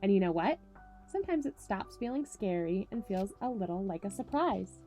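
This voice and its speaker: A woman's voice: mid-range voice, animated, normal speed, mellow, faint music behind, close to an everyday voice. A woman voicing a caring, reassuring father in a children's storybook, supportive in manner.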